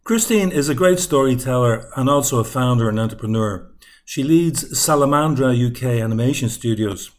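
A man with a mid-pitched voice hosts the show and reads a scripted introduction to his guest. His delivery is upbeat and smooth. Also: lively intonation, normal speed. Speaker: podcast host.

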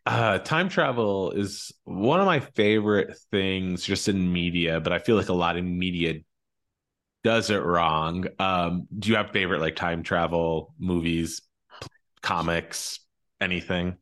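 A man's voice: sits low, measured pace, fairly bright tone. A man interviewing casually on a podcast, putting his questions in an informal, rambling way.

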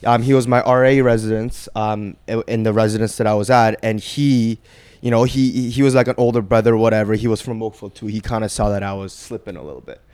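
Young guy from korea chatting on a podcast, laid back, says right a lot, smooth clean voice. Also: fairly low pitch, fairly even intonation, fast talker, darkish tone, no echo. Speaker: guest, boxing trainer. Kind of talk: casual podcast storytelling.

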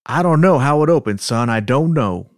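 A shaken, folksy Southern man acted out by a man in an amateur dramatic reading. He is insistent about what he saw, in a medium-pitched voice with a dry sound. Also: expressive, moderate pace, no room sound, lightly acted. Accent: put-on Southern US.

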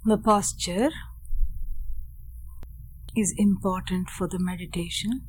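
A woman leading a guided meditation in a measured, deliberate way, speaking in short phrases with long pauses. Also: medium pitch, steady tone, slight background noise, rough recording. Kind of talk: guided meditation with Sanskrit chanting and teaching.

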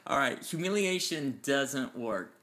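Loud guy chatting on a podcast, bright voice, pitch bouncing all over. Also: lively intonation, unhurried. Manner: enthusiastic, explains in numbered points. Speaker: podcast co-host and parent. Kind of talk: casual chat. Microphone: farther from mic than co-host.

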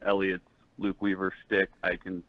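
Casting: male sports show caller, lowish voice, dry, muffled lo-fi call audio, casual chat. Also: completely flat delivery, moderate pace, no echo. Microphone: remote call-in line.

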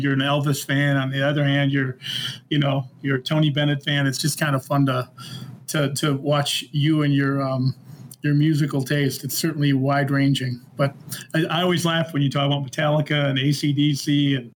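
A middle-aged American man reminiscing casually as a guest, haltingly, often saying "you know". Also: fairly high pitch, a little hiss or hum. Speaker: interview guest.

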